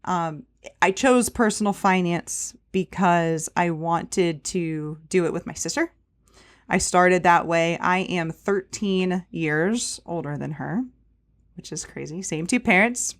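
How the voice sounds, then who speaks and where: A woman in her thirties with a fairly deep, low-pitched voice talks as a podcast guest. She is chatty and relaxed, with plenty of fillers and restarts. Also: takes it slowly. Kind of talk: casual interview chat.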